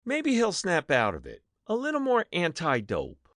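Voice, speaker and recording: Man playing panicky, high-voiced, wildly swooping staffer. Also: normal speed, dry recording, studio quality, exaggerated voice. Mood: anxious, pleading. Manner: fretful, excitable. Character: anxious, panicky male TV show staffer. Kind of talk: dramatic audiobook reading.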